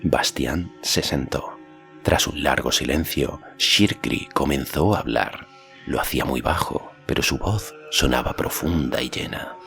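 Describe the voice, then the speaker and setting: A man's voice: rumbling low, a little slow, creaky, a bit bright. A man reading a fantasy story as an audiobook narrator, in a scripted narration style. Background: faint music.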